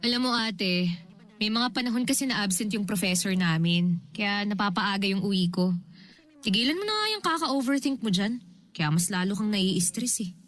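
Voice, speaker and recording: A woman's voice: medium pitch, expressive, moderate pace, fairly bright tone, close to an everyday voice. A Filipino woman playing a defensive, dismissive college-age younger sister in a radio drama, evasive and impatient.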